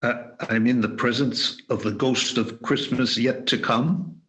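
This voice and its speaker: A man's voice: mid-range voice, steady tone, a little slow, a bit bright, close to an everyday voice. A man playing a fearful, hesitant old miser in an amateur radio play recorded over a video call. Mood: anxious. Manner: hesitant, pleading. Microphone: home video call mic.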